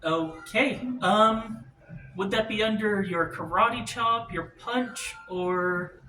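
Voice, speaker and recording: Male game master, casual rules talk, high voice, roomy distant recording with faint noise. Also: takes it slowly, bright, forceful tone. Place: indoor room, group game table.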